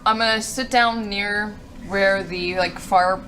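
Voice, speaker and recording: A woman's voice: medium pitch, steady tone, slow pace, fairly bright tone, a little hiss or hum. A woman playing a tabletop game, talking casually with the group.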